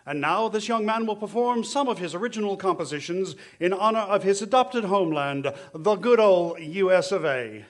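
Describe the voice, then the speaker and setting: A man's voice: high-pitched, expressive, normal speed, hardly any pauses. A man playing a courteous, showy 1930s ballroom music announcer in a radio play. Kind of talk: scripted radio concert introductions in a radio play.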